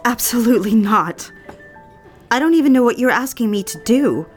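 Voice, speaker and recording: A woman's voice: on the high side, lively intonation, normal speed, soft background music, close to an everyday voice. A woman playing an anxious, proper young woman who is cautious but placating, in an audio drama. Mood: guarded, uneasy.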